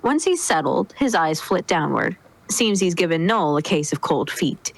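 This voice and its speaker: Lowish-voiced woman reading a story aloud. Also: expressive, normal speed, creaky, no room sound, rough recording. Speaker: reader in a group dramatic reading. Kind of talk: expressive scripted narration.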